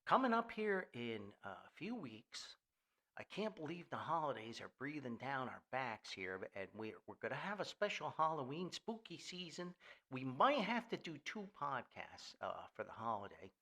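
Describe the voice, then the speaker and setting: A man's voice: medium pitch, very animated, normal speed, bright, forceful tone. An older man hosting a casual, rambling podcast in a folksy, jokey manner. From Paterson, New Jersey, US. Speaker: podcast host.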